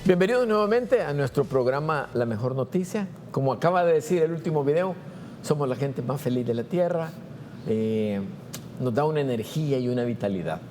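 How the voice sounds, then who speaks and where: A man's voice: mid-range voice, animated, fairly quick, over music. A man hosting a TV program, courteously and formally introducing a guest with some hesitant repeats. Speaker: TV program host.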